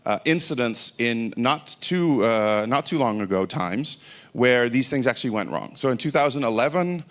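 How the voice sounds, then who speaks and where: A man's voice: mid-range voice, lively intonation, normal speed, fairly bright tone. A middle-aged Dutch man with an American-sounding accent, giving an informal technical talk from a conference stage, with many fillers and restarts. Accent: American-sounding English. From Netherlands. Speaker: conference speaker.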